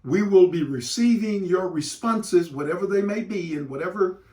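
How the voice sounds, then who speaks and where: A man speaking as an educator to students, in a deliberate, emphatic way with dramatic pauses and repeated phrases. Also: high voice, mellow. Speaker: educator hosting a read-aloud for students and parents. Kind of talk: read-aloud lesson addressed to students. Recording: roomy echo, distant microphone.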